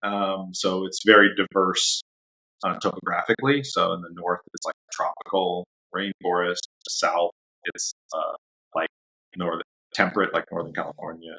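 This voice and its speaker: Deep gravelly-voiced man chatting easily. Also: normal speed, rough recording. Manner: relaxed and rambling, laughs often. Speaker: dog trainer guest. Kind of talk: casual interview chat.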